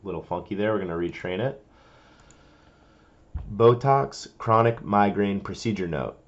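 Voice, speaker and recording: Male tutorial presenter, lowish pitch, informal and explanatory, walking through steps, on a somewhat muffled lo-fi recording. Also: lively intonation, a little slow. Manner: informal, explanatory, talking through each step. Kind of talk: casual step-by-step screen-recording tutorial.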